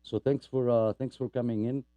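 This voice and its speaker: A man's voice: medium pitch, little pitch movement, moderate pace, mellow, dry recording. Chatty man hosting a radio net. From Greece. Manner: rambling, apologetic, chatty with many fillers. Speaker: net host. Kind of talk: casual radio net chat.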